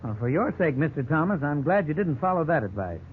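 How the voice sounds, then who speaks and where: Formal lawyer on old, tinny radio. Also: male voice, on the high side, big pitch swings. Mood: patient. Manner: measured, formal, faintly condescending. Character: courteous, stern, formal male lawyer, patient but dry. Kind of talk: 1950s radio drama acting. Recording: old noisy 1950s radio, narrow band.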